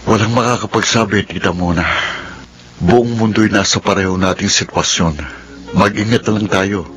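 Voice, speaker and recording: Man as a deep-voiced, reassuring family friend. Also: steady tone, moderate pace, a bit bright, lightly acted. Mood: calm concern. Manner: polite, reassuring. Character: calm, respectful, sympathetic family friend. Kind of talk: audio drama dialogue.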